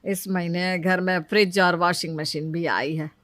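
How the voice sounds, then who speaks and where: A woman's voice: lowish voice, fairly even intonation, a little slow, a bit bright, no echo. A woman voicing an older, fussy, thrifty mother-in-law in a cartoon story, emphatic and matronly. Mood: questioning, firm. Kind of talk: Hindi cartoon story dialogue.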